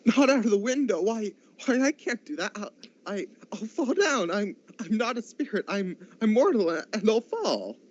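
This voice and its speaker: A man's voice: high voice, sing-song, moderate pace. A teenage male actor playing an anxious, grumbling old miser in a radio drama, stammering and repeating his words nervously. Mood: frightened. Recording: dull lo-fi amateur recording.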